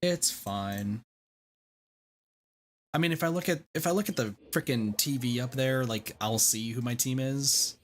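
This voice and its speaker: A man's voice: mid-range voice, unhurried. A man giving casual livestream commentary on a game, muttering to himself and swearing mildly. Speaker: game streamer.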